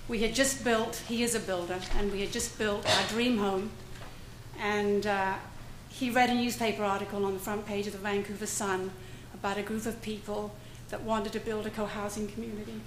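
A woman's voice: mid-range voice, little pitch movement, moderate pace, noisy background. A middle-aged woman, speaking candidly and with light humor as a panelist at a university conference, at a podium microphone in a lecture room. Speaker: conference panelist, cohousing resident. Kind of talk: prepared talk at a conference.

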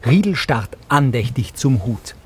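A man's voice: medium pitch, big pitch swings, measured pace, noisy background. A man reading scripted audio description narration for a television series.

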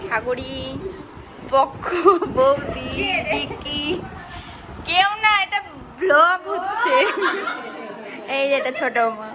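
Loud chatter and noise surround a high-voiced woman. She talks casually, introducing people for a home vlog in a crowded indoor space. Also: animated, slow. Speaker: vlogger introducing relatives. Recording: very noisy crowded indoor gathering.

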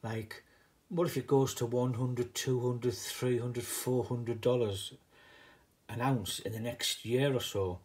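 A middle-aged man from the northeast of England speaks in a Teesside accent at a medium pitch. He hosts a casual home vlog without a script, wandering off the point and back again as he goes. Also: fairly even intonation, slow, darkish tone. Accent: northeast English, Teesside. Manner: rambling, digressive. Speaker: video host, hobbyist coin stacker.

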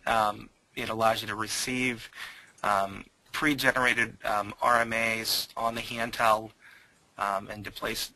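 A man presenting software in a webinar, answering audience questions with frequent hesitations. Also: on the low side, rough recording. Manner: hesitant, many um fillers.

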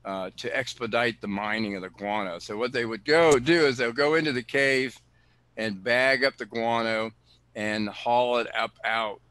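A man, director of a cave preserve, answering questions on a video call in an explanatory way, often pausing with fillers and restarting sentences. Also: medium pitch, fairly even intonation, fairly bright tone, no room sound. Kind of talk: answering questions in an online talk.

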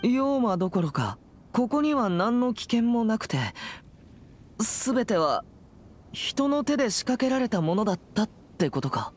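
Earnest teenage boy exorcist, lively intonation. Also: high-pitched, slight background noise. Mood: surprised, questioning. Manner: earnest, formal, measured. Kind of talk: scripted Japanese game voice acting.

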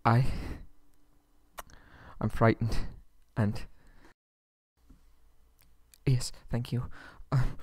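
Man acting a slow-talking, haughty, frightened captive prince. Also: mid-range voice, little pitch movement, a little mellow, slightly rough, no room sound, clean studio sound. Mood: timid, frightened. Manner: stammering, hesitant, pleading. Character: haughty, frightened young kidnapped prince, foul-mouthed but submissive. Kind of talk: ASMR roleplay audio drama, one-sided dialogue.

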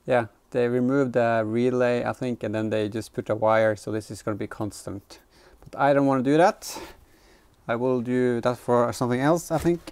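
A man's voice: medium pitch, steady tone, normal speed, a little mellow, smooth. A man in his thirties giving casual, hesitant hands-on commentary, thinking aloud as he works in a garage workshop. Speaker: car DIY vlogger. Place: garage workshop and inside the back of a car.

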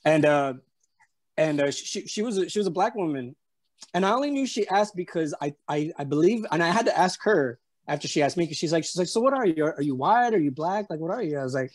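A man's voice: fairly high pitch, expressive, fast talker. Man with a San Antonio Spanish-tinged drawl. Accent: San Antonio Texan with a slight Spanish tinge. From San Antonio, Texas. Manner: rambling, full of fillers and restarts. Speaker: interview guest. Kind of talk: casual storytelling chat. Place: room at home. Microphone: webcam on a video call.

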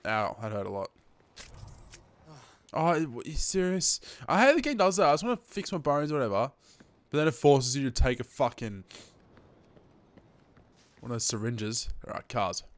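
An Australian man in his twenties hosts a gaming video with a middling-pitched voice. He chats casually to his viewers as he plays, swears freely and sounds slightly sniffly with hay fever. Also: big pitch swings, moderate pace, long pauses, no echo. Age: 20s. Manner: sweary, chatty, addressing viewers as guys. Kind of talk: casual gameplay commentary.

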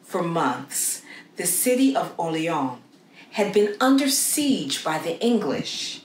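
A middle-aged woman reading a story aloud for children in an expressive, deliberate way with dramatic pauses. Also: lowish voice. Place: small indoor room against a plain wall. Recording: roomy echo with faint background noise.

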